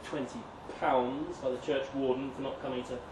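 A man giving an expressive, theatrical live reading of a comic historical monologue, swelling and hushing for drama. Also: mid-range voice, normal speed. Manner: expressive, dramatic, with emphatic swells and hushed drops. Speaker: story reader. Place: live reading event in a venue. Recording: echoey live room, distant mic, noisy ambience.

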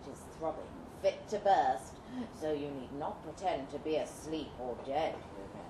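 A woman voicing a cruel Victorian lord in a melodramatic story, soft, hoarse and slowly gloating. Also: sits low, pitched below the performer's own voice, natural voice. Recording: echoey room, distant mic, background noise.

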